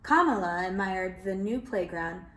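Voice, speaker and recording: A woman reading a children's picture book aloud, expressive and animated, calling listeners friends. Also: mid-range voice, unhurried. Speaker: children's storyteller. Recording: roomy echo.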